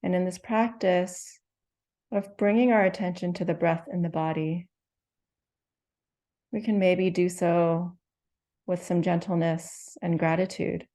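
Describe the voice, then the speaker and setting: A woman leading a guided meditation, speaking slowly and gently with soothing instructions and long pauses. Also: lowish voice, fairly even intonation. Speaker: meditation teacher.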